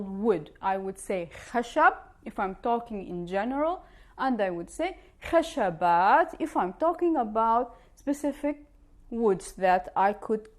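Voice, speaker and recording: A woman with a Levantine Arabic accent, a native Arabic speaker, giving an informal language lesson and explaining through examples. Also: on the high side. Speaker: language teacher.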